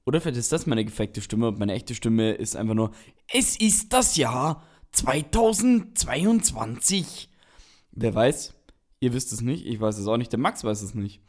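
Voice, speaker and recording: A man's voice: on the low side, a little fast, a little mellow. Playful young man co-hosting a podcast. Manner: playful, silly, jokey. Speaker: podcast co-host. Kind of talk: casual chat.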